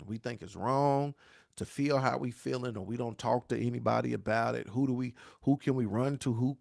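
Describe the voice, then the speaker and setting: Gravelly, preachy pastor with dialect grammar. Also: male voice, mid-range voice, no echo, studio quality. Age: 40s. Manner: preachy, repeats rhymes and asks "right?" often; dialect grammar, says "y'all". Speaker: pastor hosting a grief talk show. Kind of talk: live-stream talk answering viewer questions.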